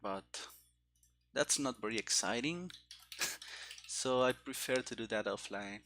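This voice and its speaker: A man with a mid-pitched voice talks very slowly, as a programmer thinking aloud. He mutters in fragments, in the casual style of a live-coding commentary. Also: sharp tone, a little hiss or hum. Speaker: programmer streaming game development.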